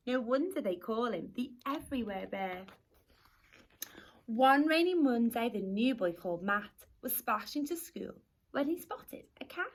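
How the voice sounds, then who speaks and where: Woman, high-pitched voice, primary school teacher, rhyming story time, expressive delivery. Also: unhurried, low-quality recording. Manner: expressive storytelling for young children. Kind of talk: children's picture book read-aloud in rhyme.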